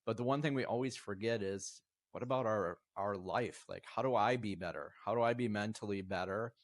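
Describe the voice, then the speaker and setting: A man in his fifties talks in a low, steady voice, earnest and reflective as he gives his views. The recording is dry and close, with little room sound. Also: a little fast, dry recording. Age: 50s. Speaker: podcast guest. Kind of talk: casual interview talk.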